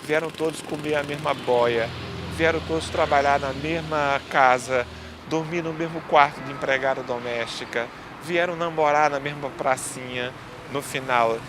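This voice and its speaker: Man from northeast brazil, documentary interview, lecturing, rhetorical questions, slightly high pitch, faint outdoor city noise. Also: normal speed. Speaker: interviewed researcher.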